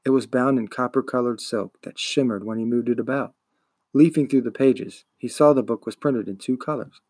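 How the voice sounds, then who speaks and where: A man's voice: medium pitch, normal speed, a little mellow, no room sound, studio quality. A man reading a children's book aloud as a storybook narrator. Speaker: podcast host, audiobook reader.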